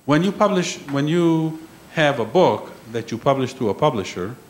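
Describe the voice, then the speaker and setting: A man's voice: mid-range voice, animated, normal speed. A man giving an emphatic conference lecture, repeating key points and checking in with the audience. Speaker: conference panel speaker. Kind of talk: lecture on intellectual property. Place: conference room.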